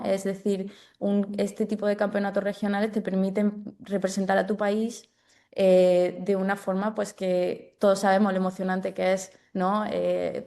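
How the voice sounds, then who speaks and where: A woman's voice: medium pitch, darkish tone. A woman answering as an interview guest in a conversational, explanatory and reflective way, often checking for agreement. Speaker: sports development officer, interview guest.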